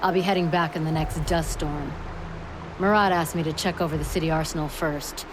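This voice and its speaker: A woman's voice: low-pitched, steady tone, a little fast, background noise, natural voice. A woman playing a bold, flirtatious, earthy metalsmith in a video game, frank and playful. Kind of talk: video game cutscene acting.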